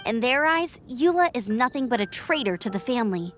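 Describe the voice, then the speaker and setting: A woman voicing a cheerful young female knight scout who talks in a friendly, lively, chatty way in a game cutscene. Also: fairly high pitch, brisk. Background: game music.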